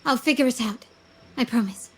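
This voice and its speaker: Composed young heiress agent, higher voice. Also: female voice, normal speed, a little mellow, slightly rough, faint music behind. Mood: resolute. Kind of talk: video game voice acting.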